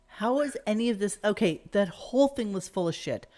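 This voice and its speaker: A woman's voice: mid-range voice, lively intonation, a little fast, a little mellow. A woman hosting a talk show with casual, mocking commentary, sarcastic and exasperated, swearing and repeating phrases.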